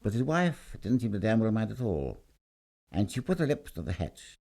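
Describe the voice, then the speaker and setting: A man's voice: fairly low pitch, huge pitch swings, normal speed, vocal fry, warm tone. A man reading a scripted short story aloud in a theatrical, emphatic storytelling manner. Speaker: story reader.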